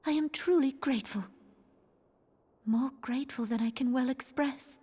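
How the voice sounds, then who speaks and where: High-voiced regal sultana. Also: female voice, moderate pace, warm tone, husky. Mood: grateful, wistful. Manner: formal, stately, archaic speech. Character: young regal sultana, solemn, resolute and gracious ruler. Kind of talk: scripted fantasy game cutscene acting.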